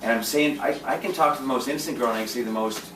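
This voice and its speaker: A man's voice: mid-range voice, racing. A man talking in a casual group chat as a dating coach, intense and direct, with lots of restarts. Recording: echoey room from a distance, faint noise.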